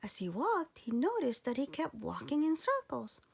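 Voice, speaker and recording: A woman reading a children's storybook aloud with expressive narration. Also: high-pitched, moderate pace, gravelly. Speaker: storybook reader.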